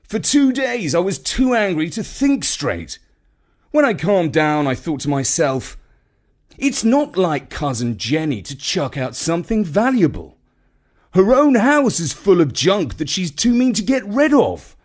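A man acts out a breezy, self-assured uncle from a children's story. He drawls colloquially and emphatically, taking his time, with a high voice that leaps about. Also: sing-song, unhurried, exaggerated voice. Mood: confiding, triumphant. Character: breezy, charmed, self-assured younger or middle-aged uncle.

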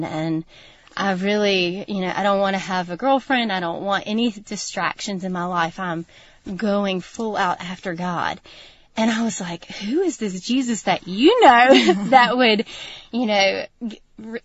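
A woman's voice: mid-range voice, dry recording. Earnest woman, thirties, radio guest telling her testimony; muffled, dry sound. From North Carolina, USA. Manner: earnest, reflective, laughs often. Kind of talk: personal testimony in a radio interview. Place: radio studio.

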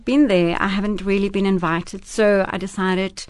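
A woman's voice: medium pitch, fairly even intonation, moderate pace, darkish tone, dry recording, studio quality. A woman whose first language is Afrikaans, speaking earnestly and thoughtfully as an actress and producer in a radio interview. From South Africa. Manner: earnest, thoughtful, some restarts.

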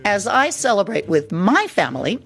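Woman podcast host, very animated delivery. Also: mid-range voice, moderate pace, breathy edge, soft background music. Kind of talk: scripted host introduction.

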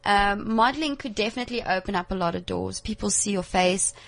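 A woman's voice: mid-range voice, brisk, vocal fry. A young woman with an Afrikaans South African accent, a model giving candid career advice in a casual radio interview. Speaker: model guest.

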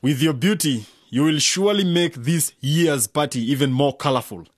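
An actor playing a domineering, patronizing long-serving town mayor in a radio drama, with a pompous, condescending manner. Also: male voice, fairly high pitch, moderate pace, husky, no room sound, clean studio sound. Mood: smugly patronizing.

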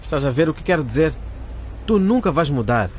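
Jovem farto, acusador e acelerado. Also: male voice, fairly high pitch, sing-song, fairly quick, husky. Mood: reproachful. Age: young adult. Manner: blunt, accusing. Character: reproachful, fed-up young male friend. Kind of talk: radio drama acting.

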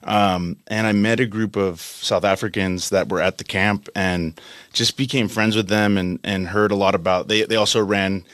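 A man's voice: on the low side, fairly even intonation, moderate pace, fairly bright tone, no room sound. A man in his late thirties with an American accent, talking casually as an interview guest in a studio. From United States, California. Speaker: interview guest, company country head.

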